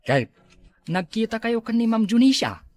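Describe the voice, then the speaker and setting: A man's voice: high voice, sing-song, normal speed, darkish tone, no echo. A man acting an inquisitive, gossiping coworker in an Ilocano comedy drama, with an Ilocano Filipino accent. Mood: curious.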